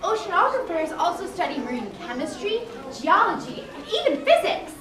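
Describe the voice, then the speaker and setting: High, lively woman as puppet-show ocean guide. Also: normal speed, heavily acted. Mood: eager, explanatory. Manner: explanatory, theatrical. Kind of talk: scripted children's puppet show. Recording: echoey hall, distant mic, audience noise.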